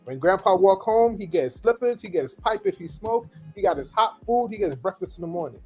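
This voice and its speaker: A man's voice: sits high, very animated, fairly quick, mellow, husky, faint music behind, poor audio. A man from Trinidad, co-hosting a casual podcast chat and talking bluntly with jokes and repeated words. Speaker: podcast co-host.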